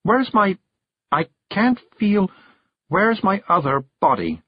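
A man voicing a weary, dazed and fretful woman witch in a storybook, with a faint, halting, dreamy murmur. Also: sits high, sing-song, slightly rough, no room sound, pitched above the performer's own voice, heavily acted. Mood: shaken, weak. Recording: dull, lo-fi recording.

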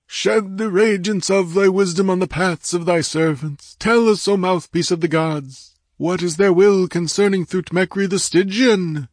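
A man voicing a fanatical high priest from a fantasy audiobook, solemn, resonant and declamatory as he invokes his goddess. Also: measured pace, few pauses, no room sound, clean studio sound. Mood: solemn pleading.

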